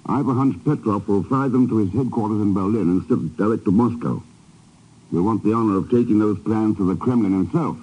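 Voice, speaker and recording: Wry, low-voiced secret agent. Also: male voice, a little fast, husky. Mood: wry, cool. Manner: dry, understated. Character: cool, wry, resolute male secret agent. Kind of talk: 1950s radio drama acting. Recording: dull, noisy old radio broadcast.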